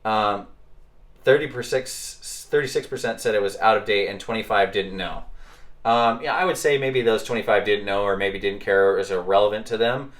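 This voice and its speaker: A man's voice: on the low side, moderate pace, fairly bright tone. A man hosting a podcast, talking casually with lots of fillers and rhetorical questions. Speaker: podcast host. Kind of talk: casual discussion.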